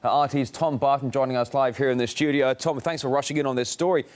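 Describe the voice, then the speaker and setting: A man's voice: mid-range voice, fairly even intonation, fast, darkish tone. A male news anchor with a mid-range voice presents a live bulletin. He leans on his words with dramatic emphasis as he introduces stories and puts questions to a correspondent.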